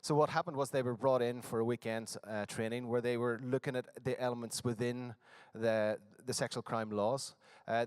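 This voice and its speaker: A man's voice: mid-range voice, clean tone, dry recording. A man from Northern Ireland with a Northern Irish accent, giving a workshop presentation at a conference with frequent fillers and restarts. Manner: hesitant, many fillers and restarts. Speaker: conference speaker. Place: conference hall.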